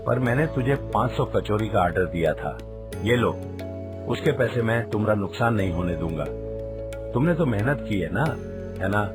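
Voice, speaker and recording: A man's voice: fairly low pitch, expressive, normal speed, music in the background, lightly acted. A man playing a generous, wealthy village merchant in a cartoon story. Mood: kindly, firm. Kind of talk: Hindi cartoon story voice acting.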